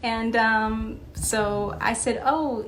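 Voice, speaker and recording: A woman, a podcast guest and author, telling stories in a casual interview, animated and laughing often, with many fillers. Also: a little high, drawn-out words, a little hiss or hum, low-quality recording.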